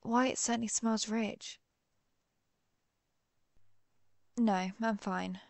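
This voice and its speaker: A woman playing an earnest, conflicted vampire hunter who is herself a vampire, speaking formally with hesitant pauses in a dramatic audio roleplay. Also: a little high, fairly even intonation, gravelly, no echo. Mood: shaken, apologetic. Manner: formal, old-fashioned wording, hesitant pauses. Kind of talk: dramatic audio roleplay, one-sided dialogue.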